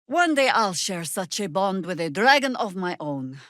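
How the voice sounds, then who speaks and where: A woman playing a weathered, stern and wry old druid warrior in a video game, with a measured, dry, commanding delivery. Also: mid-range voice, big pitch swings, fairly bright tone, no echo. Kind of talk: dramatic video game voice acting.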